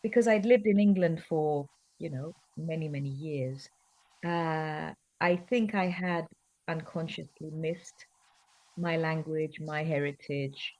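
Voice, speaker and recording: Thoughtful low-voiced woman, Greek native. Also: slow pace, darkish tone, rough recording. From Cyprus. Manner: thoughtful, hesitant, many fillers and restarts. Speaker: guest actress. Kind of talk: conversational interview.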